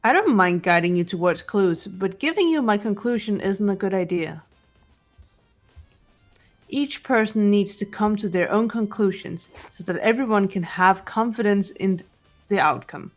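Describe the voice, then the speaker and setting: A woman playing a cool, analytical teenage girl detective in a game voice-over, speaking in a measured, serious way. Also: medium pitch, animated, close to an everyday voice. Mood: guarded, instructive. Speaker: amateur voice actor. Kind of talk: fan voice-over of a visual novel game. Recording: game music under the voice.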